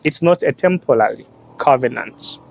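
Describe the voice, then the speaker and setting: A young religious brother and law student from Tanzania, explaining church teaching on marriage in short, halting phrases with many pauses and fillers. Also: male voice, medium pitch, animated, gravelly, rough recording. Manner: slow, halting delivery in short phrases with frequent "uh".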